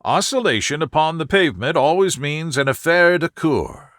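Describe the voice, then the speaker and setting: A man voicing a calm, shrewd, self-assured detective in an audiobook story, speaking in a precise, explanatory way. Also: on the high side, moderate pace, no room sound, studio quality, pitched above the performer's own voice. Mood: analytical.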